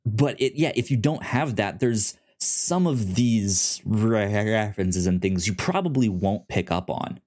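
Low-voiced man, stammering casual talk. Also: animated, darkish tone. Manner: halting, many stammered restarts. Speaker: podcast co-host. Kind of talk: casual chat about comics.

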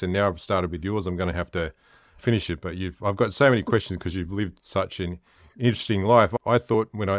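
A man with a very low voice hosts an interview show, friendly and a little rambling as he sets up questions. The recording is dry with no room sound. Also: no echo. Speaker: podcast host. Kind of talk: casual interview chat.

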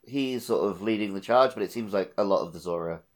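A man's voice: lowish voice, brisk. A man co-hosting a podcast, talking casually about a video game. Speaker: podcast co-host.

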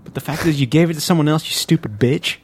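A man's voice: on the high side, quick pace, breathy edge. A man hosting a casual podcast, talking over the news in a blunt, profane way. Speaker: podcast host. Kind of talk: casual crude panel chat about news.

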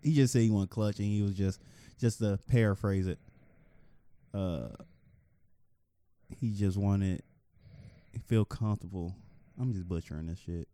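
A man's voice: lowish voice, unhurried, long pauses, dry recording. Man, podcast co-host, casual sports chat, rambling, dry close mic. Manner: rambling, joking, animated when arguing.

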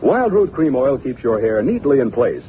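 A man's voice: medium pitch, sing-song, moderate pace. A man with an American accent announcing and reading a sponsor's commercial in 1940s radio style. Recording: noisy, tinny old radio recording.

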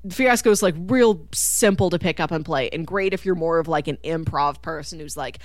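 A woman chatting casually as a podcast co-host about media, animated, often acting out imagined reactions and laughing through her words. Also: sits low, normal speed, no room sound, clean studio sound. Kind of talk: casual film discussion.

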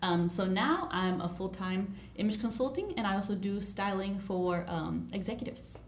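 A woman's voice: mid-range voice, normal speed, slight background noise. A woman in her twenties from El Salvador, a guest image consultant telling her story eagerly in a casual podcast chat. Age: 20s. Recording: roomy echo.

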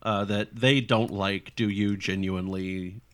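Easygoing joking game master. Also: male voice, on the low side, steady tone, a little slow, fairly bright tone. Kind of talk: running a tabletop game.

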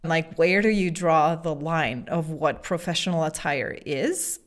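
A woman's voice: sits low, a little slow. A woman from Belgium co-hosting a podcast over a call, chatting casually and laughing now and then. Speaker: co-host and coffee judge. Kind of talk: casual chat. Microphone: video call from a home office.

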